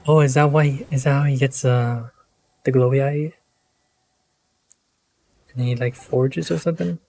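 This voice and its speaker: A man's voice: medium pitch, fairly even intonation, a little slow, creaky, darkish tone, no room sound. A man giving casual, off-the-cuff reaction commentary as he streams a video game.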